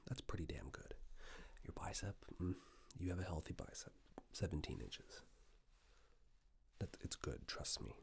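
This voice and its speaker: A man with a very deep voice speaks in a soft, breathy ASMR murmur that often drops into a whisper. His tone is creaky at the edges and moves up and down freely. He plays an attentive tailor, slow and reassuring, right up close to the microphone. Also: sing-song. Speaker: ASMR performer playing a tailor taking measurements. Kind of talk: soft-spoken ASMR roleplay. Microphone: close binaural microphone.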